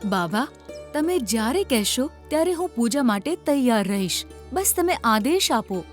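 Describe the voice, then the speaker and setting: A woman's voice: fairly high pitch, very animated, moderate pace, soft background music. A woman voicing an earnest, trusting village headwoman in a children's cartoon, with a devout, pleading manner.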